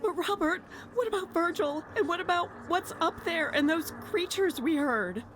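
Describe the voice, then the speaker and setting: A woman's voice: extremely high pitch, animated, moderate pace, fairly bright tone. An actress playing an earnest, questioning woman of a mission control team in an audio drama, with faint bustle behind her. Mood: pleading, betrayed. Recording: faint crowd and room noise behind.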